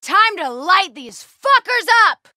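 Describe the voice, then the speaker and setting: An actress playing a fierce, protective young superheroine in a video game, tough and determined. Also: female voice, very high voice, big pitch swings, slow, pushed and bright, dry recording, studio quality, exaggerated voice.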